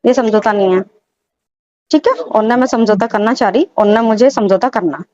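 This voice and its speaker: A woman's voice: fairly high pitch, moderate pace, warm tone, dry recording. A woman speaking insistently and argumentatively in a recorded phone conversation, repeating rhetorical questions. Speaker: employee, complainant on a phone call. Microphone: phone call recording. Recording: dull, lo-fi recorded phone call.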